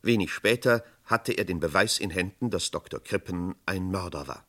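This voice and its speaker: An actor as the narrator of a German radio crime play, telling a suspenseful story in formal scripted style. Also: male voice, sits low, normal speed, bright, forceful tone. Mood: measured, suspenseful.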